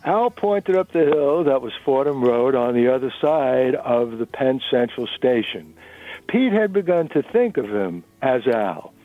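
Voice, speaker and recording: A man's voice: medium pitch, lively intonation, a little slow, no echo, phone-quality sound. A man narrating a dramatic reading of fiction. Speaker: narrator reading a novel chapter.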